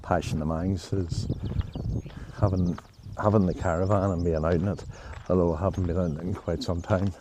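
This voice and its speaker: A man's voice: low-pitched, steady tone, fairly quick, noisy background. A man, rambling and hesitant with many restarts, talking to camera while walking outdoors. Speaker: travel vlogger. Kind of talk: rambling casual vlog. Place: outdoors in nature on a walk. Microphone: handheld camera while walking.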